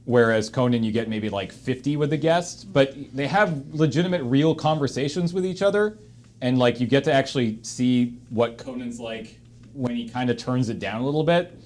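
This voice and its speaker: A man hosting a casual unscripted discussion, speaking haltingly and emphatically and repeating phrases for stress. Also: medium pitch, a little mellow. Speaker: host of a panel discussion. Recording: clear room noise behind.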